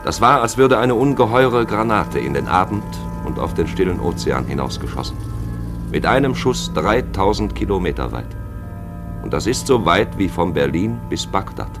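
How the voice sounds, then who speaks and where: An observant chronicler narrating a literary radio drama. The voice is very deep and gravelly, with lively rises and falls. He describes things plainly, like a storyteller. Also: normal speed, over music. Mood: wistful. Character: observant male chronicler of a grey postwar city.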